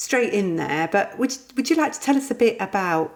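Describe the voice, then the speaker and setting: A woman's voice: mid-range voice, animated, brisk. A middle-aged woman hosting an interview show, asking questions conversationally with tentative, roundabout phrasing.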